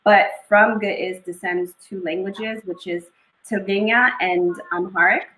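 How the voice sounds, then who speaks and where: A young woman poet and author talking in a casual interview, thoughtful and unhurried, with many pauses. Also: mid-range voice, fairly even intonation, sharp tone, roomy sound, rough recording. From Eritrea and northern Ethiopia (family).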